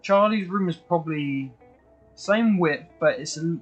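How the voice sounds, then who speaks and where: A young man streaming a game and chatting casually. He is excitable, swears freely and often restarts his sentences. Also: unhurried, soft background music. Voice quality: fairly high-pitched voice. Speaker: gaming streamer. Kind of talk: casual chat while gaming.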